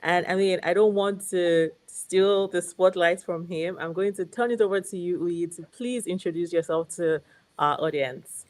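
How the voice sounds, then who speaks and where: A woman with a slightly low voice speaks as the host of an interview. Her delivery is conversational, as she addresses her guest and the audience. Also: moderate pace, a little mellow.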